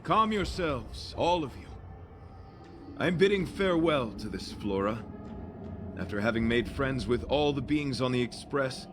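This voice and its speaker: An actor playing a gallant, earnest knight in a video game, with formal, florid, melodramatic phrasing. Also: male voice, mid-range voice, close to an everyday voice. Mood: solemn, noble. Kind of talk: scripted video game acting.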